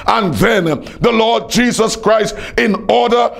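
A man's voice: sits high, slow, vocal fry. A man preaching a fervent evangelistic sermon in an emphatic, declamatory way, repeating phrases for effect.

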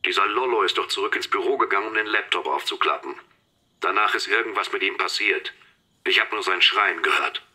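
An actor playing a detective in an audio drama, heard through a phone or radio filter. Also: male voice, lowish voice, a little fast, pushed and bright, breathy edge, exaggerated voice. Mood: matter-of-fact. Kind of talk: German audio drama acting.

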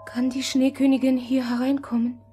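Gerda, high-voiced playful little girl. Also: little pitch movement, moderate pace, mellow, husky, soft background music. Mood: curious. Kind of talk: German fairy-tale audio drama acting.

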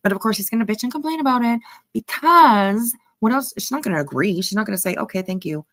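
A woman's voice: medium pitch, animated, quick pace, fairly bright tone, dry recording. A woman giving casual, snarky commentary on a clip, mocking and swearing freely. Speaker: reaction channel commentator.

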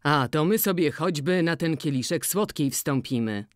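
A reader voicing an older, stubborn farmer who speaks low and coaxing in an audiobook. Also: lively intonation, normal speed, dry recording, clean studio sound, natural voice. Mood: tender. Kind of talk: audiobook character voice.

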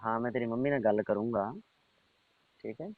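A man's voice: mid-range voice, brisk, no echo. A middle-aged man, having a casual private chat over the telephone. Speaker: uncle on a phone call. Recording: tinny, band-limited phone call recording.

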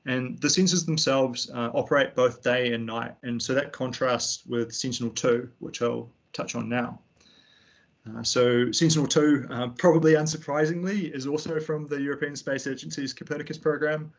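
A young man with a New Zealand accent, giving a tutorial over a slide presentation in a relaxed way, with frequent ums and restarts. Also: mid-range voice, normal speed, dull audio. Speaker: fisheries analyst giving a tutorial. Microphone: computer mic on a video call.

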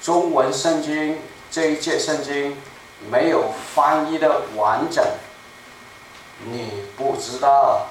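An older man in his seventies delivers a sermon in a small church, taking his time and hammering home each point by repeating it. His voice sits a little high for a man. He is picked up from across the room, with heavy echo and a faint hiss behind him. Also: fairly even intonation. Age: 70s. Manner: deliberate, slow and emphatic, repeating key phrases. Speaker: preacher. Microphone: room mic from a far camera. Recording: echoey room, distant mic, faint noise.

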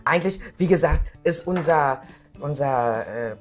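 A woman's voice: low-pitched, animated, a little slow, a little mellow, faint music behind. A middle-aged woman chatting casually as she demonstrates a recipe in a home kitchen. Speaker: home cook presenting a recipe. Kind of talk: casual cooking demonstration chat.

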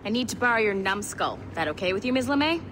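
A woman's voice: medium pitch, very animated, quick pace, noisy background, close to an everyday voice. An actress playing a blunt, wry female security contractor in a video game cutscene. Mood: brisk. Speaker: game character.

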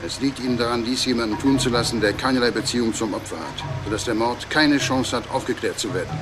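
An actor playing a cold, businesslike middleman in a crime radio drama, speaking in a measured, probing, matter-of-fact way. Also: mid-range voice, music in the background, natural voice. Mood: coolly businesslike. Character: cold, businesslike middleman recruiting a hired killer.

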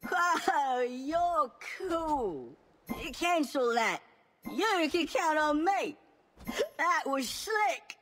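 A rowdy, drunk female junkyard robot from a video game. The voice is high and drags very slowly through slurred words. It is goofy and cheeky, with some noise and clicks from game effects around it. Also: big pitch swings, fairly bright tone, full character voice. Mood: cheerful. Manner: tipsy, playful, jokey. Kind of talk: video game character voice pack.

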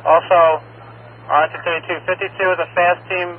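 A man's voice: high-pitched, little pitch movement, brisk. A man working as an emergency dispatcher, reading out radio announcements in a clipped, procedural way over a two-way radio. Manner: procedural, clipped, reads numbers in lists. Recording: muffled scanner radio with faint noise.

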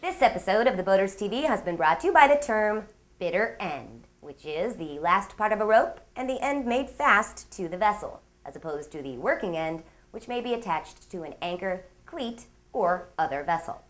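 A woman's voice: mid-range voice, animated, moderate pace. A woman hosting a boating magazine show, reading a scripted narration in an upbeat way with playful asides. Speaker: show host.

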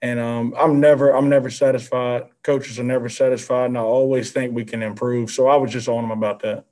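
A man in his thirties, a head basketball coach, answering postgame questions on a video call in an earnest, measured way with many fillers. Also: mid-range voice, little pitch movement, a little mellow. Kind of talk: postgame press conference answers. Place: indoor press backdrop room. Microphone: video call, seated at desk with mask.

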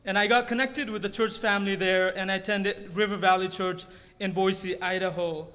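A man shares his story from a church pulpit. His voice sits high for a man, and it rings out with a long reverb through the big sanctuary. He speaks earnestly, with touches of humor and frequent restarts. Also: fairly even intonation, normal speed, pushed and bright. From Nepal. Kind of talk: personal testimony from a pulpit. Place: large church sanctuary stage. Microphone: podium microphone.

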